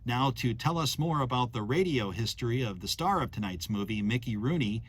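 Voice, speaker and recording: A man's voice: mid-range voice, animated, fairly quick. Man giving a welcome, noisy background. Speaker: radio station manager introducing an event. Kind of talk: scripted welcome address to camera. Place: radio station studio.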